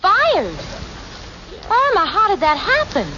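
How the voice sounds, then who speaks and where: A sardonic, sensible young woman speaks in a high, swooping voice with long-suffering patience. It is 1940s radio comedy on a muffled, noisy recording. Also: wildly swooping pitch, takes it slowly, full character voice. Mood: exasperated. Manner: wry, exasperated, crisply articulate. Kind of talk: 1940s radio sitcom acting and narration.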